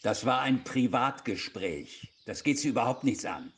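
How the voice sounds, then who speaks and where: A man's voice: medium pitch, lively intonation, moderate pace, fairly bright tone, close to an everyday voice. An actor playing a shifty, irritable male schoolteacher in a radio play. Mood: curt, dismissive. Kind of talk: hobby German radio play acting. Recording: faint noise with birdsong.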